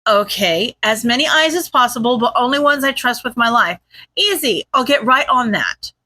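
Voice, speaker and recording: A woman's voice: fairly high pitch, expressive, slow pace, bright, forceful tone, exaggerated voice. A woman voicing a streetwise female informant in a story, flippant and snarky with breezy bravado. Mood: panicked snark.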